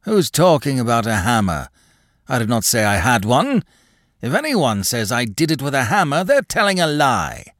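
A man voicing an agitated, rambling old gentleman with a sharp, unnatural voice and wild, excitable outbursts. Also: medium pitch, normal speed, dry recording, studio quality, voice pushed up. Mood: cunning, defensive.

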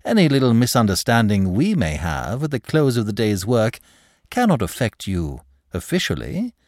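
A man voicing a suave, impudent young gentleman clerk for an audiobook, in flowery, ingratiating, over-polite speech. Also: lowish voice, huge pitch swings, normal speed, no room sound, clean studio sound, voice pushed up. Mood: smooth, self-assured. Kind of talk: audiobook character dialogue.

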